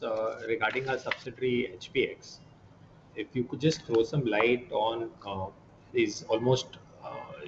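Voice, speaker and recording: Indian male analyst on a noisy line. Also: medium pitch. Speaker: investment analyst asking questions. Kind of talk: conference call questions. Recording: muffled, noisy conference line.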